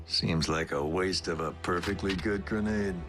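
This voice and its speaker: A man voicing a cocky, roguish, battle-hardened robot warrior in an animated series, with a wry, laconic tough-guy drawl. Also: sits low, normal speed, music in the background, lightly acted. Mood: dry, scheming.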